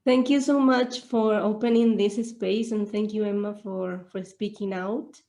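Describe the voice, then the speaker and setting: A woman's voice: medium pitch, fairly even intonation, measured pace, mellow, a little hiss or hum. A woman whose first language is not English, asking questions at an online Q&A, hesitant and searching for her words. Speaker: audience member asking questions.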